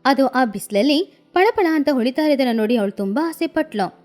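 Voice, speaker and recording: High-voiced woman storyteller. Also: lively intonation, moderate pace, a little mellow. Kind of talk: scripted narration of a children's moral story. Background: music.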